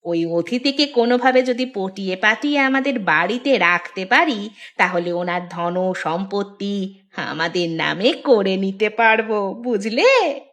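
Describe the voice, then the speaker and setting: A woman's voice: mid-range voice, expressive, a little slow, a bit bright. A woman playing a cunning, greedy village wife who plots and coaxes in a theatrical cartoon manner. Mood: scheming. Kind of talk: Bengali cartoon dialogue.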